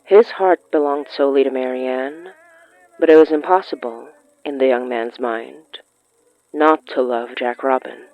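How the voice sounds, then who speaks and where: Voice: medium pitch, a little slow, no echo. A narrator reading a scripted short story in a literary storytelling style. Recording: muffled lo-fi sound with music. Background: music.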